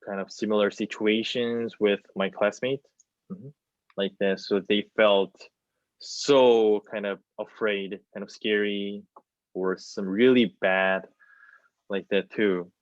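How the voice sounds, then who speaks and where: A man's voice: lowish voice, fairly even intonation, takes it slowly. A young man, a native Korean speaker with a Korean accent, talking hesitantly on a panel and searching for his words over a video call. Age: young adult. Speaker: student playwright, panelist. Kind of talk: casual panel discussion. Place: plain room, indoors. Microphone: video call, wireless earbud worn. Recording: roomy, dull video-call audio.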